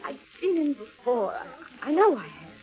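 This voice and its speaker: An actress playing a frightened, obsessed wife in 1940s radio drama, anxious and emphatic, on a muffled, crackly recording. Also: female voice, sits high, big pitch swings, moderate pace. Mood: fearful, insistent.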